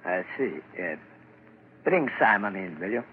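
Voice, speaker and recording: An actor playing a calm, measured doctor in a 1940s radio drama, with a husky voice. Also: male voice, low-pitched, exaggerated voice. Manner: slow, measured and soothing. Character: calm male asylum doctor. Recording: dull old radio recording.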